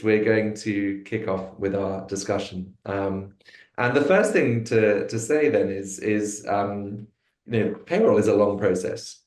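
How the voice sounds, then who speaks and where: Man, webinar host, low voice, lots of ums and restarts, roomy echo. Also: darkish tone. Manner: hesitant, many fillers and restarts. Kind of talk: corporate webinar hosting. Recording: echoey room.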